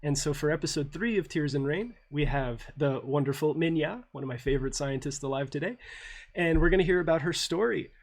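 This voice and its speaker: Slightly high-voiced male interview host. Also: brisk. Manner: friendly, informal, filler-heavy. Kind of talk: casual interview hosting.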